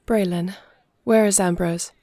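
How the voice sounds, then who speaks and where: A woman playing a commanding but worried dragon queen in an audio roleplay, formal and measured. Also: medium pitch, fairly even intonation, mellow, dry recording, clean studio sound. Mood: composed, gracious. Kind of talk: audio roleplay drama acting.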